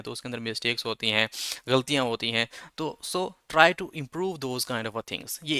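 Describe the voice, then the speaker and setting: Man, casual English lesson, clear smooth voice, dry close sound. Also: medium pitch, pushed and bright, no echo. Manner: conversational, repeats filler checks. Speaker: English teacher. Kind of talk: explaining lesson, mixing Urdu and English.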